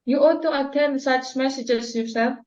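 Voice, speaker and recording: Muffled, high-voiced woman acting a flustered daughter. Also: moderate pace, darkish tone, lightly acted. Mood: protesting. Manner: apologetic, protesting. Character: flustered, reluctant young daughter of a society hostess. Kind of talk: dramatic reading of a stage play.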